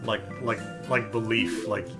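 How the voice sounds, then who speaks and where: A man's voice: fairly low pitch, fairly even intonation, unhurried, over music. A young man with a Californian accent co-hosting a live stream, talking in a rambling, opinionated way with casual swearing. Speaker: streamer co-host.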